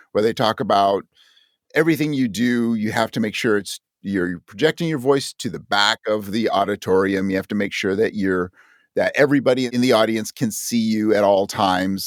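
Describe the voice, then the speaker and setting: Low-voiced man, close and dry. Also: no echo. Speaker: podcast guest, audio drama creator. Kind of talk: reflective interview talk.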